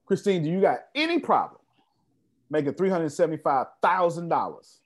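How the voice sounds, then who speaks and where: A man's voice: fairly high pitch, expressive, brisk. A man coaching a group on a video call, boastful and preachy, calling on people by name. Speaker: business coach hosting an online meeting. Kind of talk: animated motivational group call.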